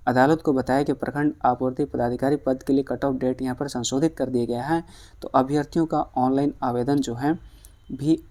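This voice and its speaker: A news presenter talks through updates in an explanatory way at a medium pitch. The delivery is direct, addressing listeners as friends. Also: fairly even intonation, brisk, mellow. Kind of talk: explanatory news commentary for online viewers.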